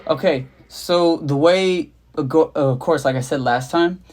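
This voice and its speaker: A man's voice: a little high, unhurried, a little mellow, faint music behind. A young man hosting a casual livestream, rambling loosely, laughing at himself and swearing offhandedly from his home studio. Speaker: rapper and beatmaker, livestream host.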